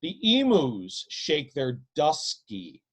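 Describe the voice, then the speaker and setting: Slow, deliberate man reading literary fiction. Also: on the high side, huge pitch swings, slightly rough. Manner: deliberate, emphatic, repeats phrases. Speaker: author reading own novel. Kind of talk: dramatic literary reading aloud.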